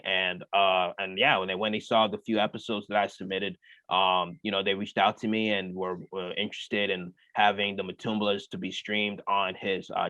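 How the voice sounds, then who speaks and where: A young man with a lowish voice talks through his answers in an easygoing way, leaning on fillers like "uh" and "you know". The sound is dry and a bit muffled, like a remote call. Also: a bit bright, no room sound. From United States. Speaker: comedian guest. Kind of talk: casual interview answers.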